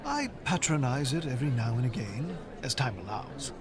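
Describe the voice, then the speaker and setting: An excitable teacher with a warm, lively, bouncing voice, played by a man. He sounds delighted and chatty over a busy crowd murmur. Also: mid-range voice, normal speed, natural voice. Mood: eager. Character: eager friendly male teacher, excitable. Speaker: teacher who likes opera. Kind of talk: audio drama acting.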